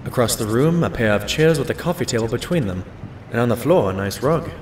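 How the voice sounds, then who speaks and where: An actor playing a modest, loyal pony doctor who narrates and talks in an audio drama in an earnest, expressive way. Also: male voice, mid-range voice, fairly quick, a little hiss or hum, natural voice. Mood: reflective narration.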